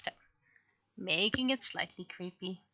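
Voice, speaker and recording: A woman livestreamer chatting casually and venting in an animated, exasperated way, acting out imagined exchanges as she goes. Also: lowish voice, measured pace, dry recording, muffled recording. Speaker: livestreamer, webcomic artist. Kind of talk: casual livestream chat, ranting.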